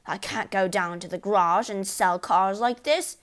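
A woman voicing a blustering, indignant middle-aged father in a storybook, shouting in outrage and panic. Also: on the low side, sing-song, a little slow. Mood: horrified.

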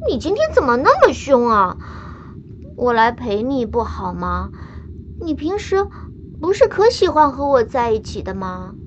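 A woman's voice: fairly high pitch, sing-song, a little slow. A woman playing a naive, affectionate young girl stowaway in a radio drama, childlike and coaxing, in slightly muffled audio. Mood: coy, coaxing. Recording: dull muffled audio with faint noise.

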